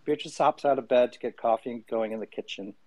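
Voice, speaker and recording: A man speaking into a close, dry mic on an audio call, his pitch sitting in an ordinary middle range. He jokes around with halting fillers, then reads scene directions from a script at a steady pace. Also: no room sound. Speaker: showrunner and host reading a script. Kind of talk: casual chat, then reading scene directions.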